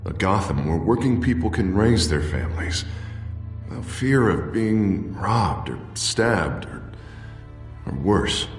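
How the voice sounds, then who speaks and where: A man's voice: sits low, expressive, takes it slowly, heavily acted. A man playing an idealistic, vulnerable politician in a video game, sincere and hesitant. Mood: earnest. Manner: hesitant, heartfelt. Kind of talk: dramatic video game acting. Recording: distant, echoey hall, faint music.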